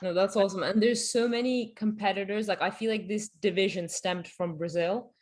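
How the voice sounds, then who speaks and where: A woman's voice: mid-range voice, steady tone, a little fast, a little mellow. A woman co-hosting a podcast, asking questions and chatting in an easygoing, agreeable way. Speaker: podcast co-host. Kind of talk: casual interview chat.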